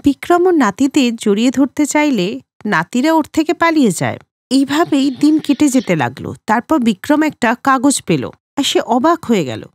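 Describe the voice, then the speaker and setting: A woman's voice: sits high, sing-song, normal speed, no echo. A woman reading scripted narration for a cartoon family story as its storyteller.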